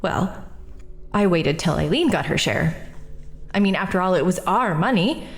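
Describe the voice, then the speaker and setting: A woman's voice: lowish voice, lively intonation, moderate pace. An actress playing a brash, defensive young woman questioned at an inquest, talking in breezy slang in a radio drama. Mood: defiant.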